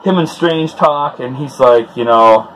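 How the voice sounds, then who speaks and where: A man's voice: mid-range voice, measured pace, a bit bright, a little hiss or hum, rough recording. A man hosting a comic read-along, retelling the story off the cuff with informal, chuckling fan enthusiasm and loosely acted dialogue. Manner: acts out dialogue loosely in his own voice.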